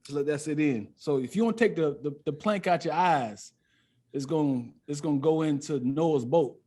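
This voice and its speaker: Joking man with a higher-pitched voice. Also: normal speed, mellow. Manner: joking, slangy, playful. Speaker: podcast guest, comedian. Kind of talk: casual comedic chat.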